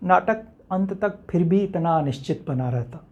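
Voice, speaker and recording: A man's voice: high-pitched, animated, lo-fi sound. Man acting an enigmatic, brooding stage monologist. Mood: brooding, questioning. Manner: reflective, rhetorical, with deliberate pauses. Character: enigmatic, uncertain man philosophically addressing a theatre audience. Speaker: actor.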